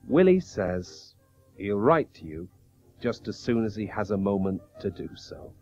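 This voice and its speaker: Quiet, dutiful cricketer brother, expressive. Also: male voice, on the low side, a little slow, soft background music. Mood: measured. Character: quiet, dutiful younger brother writing home, weary cricketer. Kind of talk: radio drama narration and dialogue. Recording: dull old radio broadcast.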